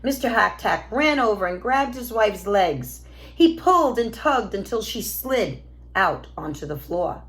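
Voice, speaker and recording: Woman, teacher read-aloud, children's story narration, slow pace, lively intonation, expressive. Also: mid-range voice. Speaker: teacher reading to pupils.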